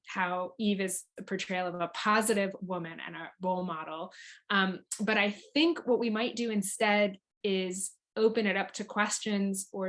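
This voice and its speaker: A woman's voice: mid-range voice, fairly bright tone. Chatty woman moderating a panel. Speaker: panel host.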